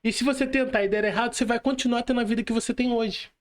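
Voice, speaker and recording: Young Brazilian male, notably high register, casual motivational podcaster, direct and emphatic. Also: steady tone, rapid-fire. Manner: emphatic, slangy, addresses the listener directly. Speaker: podcast speaker.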